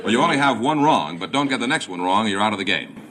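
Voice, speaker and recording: A man's voice: fairly low pitch, big pitch swings, quick pace. A man announcing on a 1950s radio quiz show, keeping contestants up to date on their score. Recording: very noisy old radio, loud audience.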